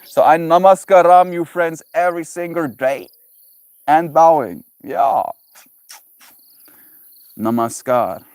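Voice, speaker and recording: A man's voice: on the high side, takes it slowly, long pauses, a little mellow, smooth, no echo. Playful man, dry sound. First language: Afrikaans. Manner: playful, musing aloud, savoring and repeating words. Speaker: livestreamer. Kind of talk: casual solo livestream chat.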